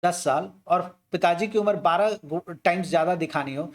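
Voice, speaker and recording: A man's voice: sits high, normal speed. A man teaching maths, walking through an age word problem step by step and asking and answering his own questions.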